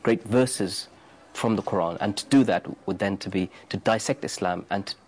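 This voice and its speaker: Interviewee, male, 30s, low voice, earnest and insistent, restarts phrases. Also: moderate pace, husky. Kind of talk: documentary interview answers.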